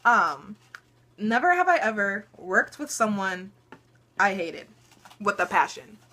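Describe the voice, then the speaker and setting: Chatty bright-voiced teen girl vlogger. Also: medium pitch, big pitch swings, slow pace. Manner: chatty, laughing often, lots of ums. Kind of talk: casual storytelling chat.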